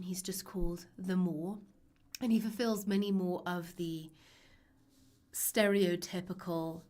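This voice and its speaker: A young woman teaching a live online lesson, her voice raspy and hoarse from a cold, chatty and reassuring with frequent ums. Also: on the low side. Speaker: literature teacher.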